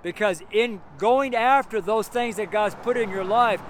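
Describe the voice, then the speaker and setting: A man's voice: high-pitched, a little slow, slight background noise. A seventy-year-old American man giving a casual, rambling street sermon, chuckling to himself now and then. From United States. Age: 70s. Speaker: street preacher. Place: outdoors by a road with passing cars.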